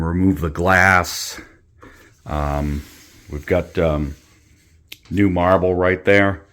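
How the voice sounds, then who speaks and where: A man giving a relaxed, joking tour of a renovated bathroom, with many fillers. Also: low-pitched, clean tone. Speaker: co-host renovating a house. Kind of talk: casual chat and house tour. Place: old house under renovation, indoors.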